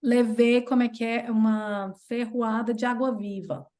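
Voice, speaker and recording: A Brazilian woman in her thirties with a Brazilian Portuguese accent, a guest chatting casually and telling stories in an animated way. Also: a little slow. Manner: chatty and animated, playful jumps in pitch when retelling. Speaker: interview guest. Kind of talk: casual storytelling chat.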